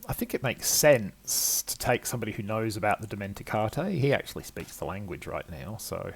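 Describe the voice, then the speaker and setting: A man's voice: on the low side, lively intonation, brisk, creaky, slightly rough. An older man hosting a game playthrough with casual, rambling, self-deprecating commentary. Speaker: gaming video host.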